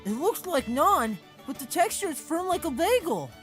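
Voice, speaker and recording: A woman playing an eager, wide-eyed young male hero in a comic dub, excitable and expressive. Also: very high-pitched, moderate pace, soft background music. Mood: delighted.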